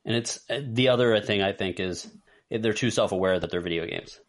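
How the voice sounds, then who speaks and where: A man's voice: fairly low pitch, a little fast. A man co-hosting a podcast, talking in a casual, conversational style. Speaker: podcast host. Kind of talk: casual chat about movies and games.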